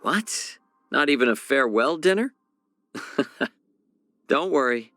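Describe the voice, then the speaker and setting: An actor voicing a genial, sly fox-man healer and chef in a video game, speaking softly and smoothly in a measured, gently teasing way. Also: medium pitch, lively intonation, no echo, faint music behind. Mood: playful, teasing. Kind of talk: scripted game character voice lines.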